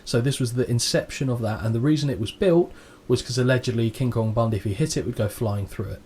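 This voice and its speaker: A man's voice: medium pitch, quick pace, darkish tone, slight background noise. A man co-hosting a podcast, reading out match notes in a casual play-by-play recap with a dry, crude sense of humour. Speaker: podcast co-host.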